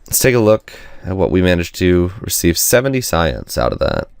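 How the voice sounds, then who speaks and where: A man with an extremely deep, smooth voice, stuffed up and nasal from a cold. He rambles through a video game, thinking aloud with lots of fillers as he weighs choices. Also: big pitch swings, moderate pace, a little mellow, no room sound, studio quality. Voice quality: congested, nasal. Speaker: gaming channel host. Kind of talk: let's play commentary.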